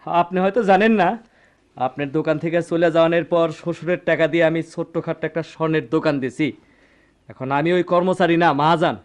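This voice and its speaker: Man acting self-assured young-blooded gold dealer, highish voice. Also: steady tone, fast talker, clean tone, close to an everyday voice. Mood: confident, reproachful. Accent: rural Bangladeshi. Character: self-assured former shop worker turned gold dealer, righteous. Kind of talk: Bengali radio play acting.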